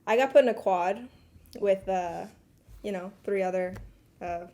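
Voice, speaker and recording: A young woman hosting a casual chat, relaxed and steering the talk with questions. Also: medium pitch, a little slow, background noise. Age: young adult. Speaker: host.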